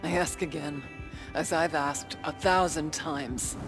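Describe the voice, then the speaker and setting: An actress playing a desperate shaman in a video game, pleading intensely and slowly with a silent spirit. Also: low voice, fairly even intonation. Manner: slow, intense, imploring. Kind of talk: dramatic video game cutscene acting. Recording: prominent music under the voice.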